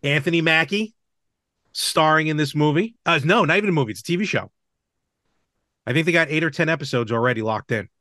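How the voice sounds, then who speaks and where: A man's voice: on the high side, fast talker, long pauses, bright, forceful tone, dry recording, clean studio sound. A man hosting a podcast, chatting casually about movies and games. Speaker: podcast host.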